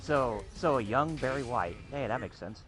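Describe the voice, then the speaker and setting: A man on a gaming stream, relaxed and knowledgeable, chatting about fighting-game history. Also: medium pitch, moderate pace, music in the background. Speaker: stream co-host reading chat. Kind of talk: casual gaming chat.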